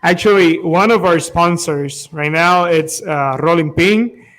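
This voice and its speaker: A man's voice: fairly high pitch, measured pace, fairly bright tone. A man with as his hosting a casual interview, hesitant and searching for words. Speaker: interview host.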